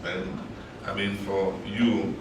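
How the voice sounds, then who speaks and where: A man speaking slowly and hesitantly, with many fillers. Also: lowish voice, level tone. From Ghana. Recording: distant mic in echoey room with noise.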